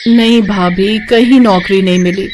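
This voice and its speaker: A woman voicing an earnest, tender-hearted young sister-in-law in an animated moral story, speaking expressively. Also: mid-range voice, measured pace, a little hiss or hum, close to an everyday voice. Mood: dejected.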